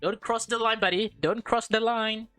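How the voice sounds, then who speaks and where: A woman's voice: fairly high pitch, steady tone, normal speed, a bit bright. A woman hosting a gaming video, giving casual, playful commentary and reading dialogue aloud with small stumbles. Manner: informal, playful reacting, stumbles while reading. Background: background music.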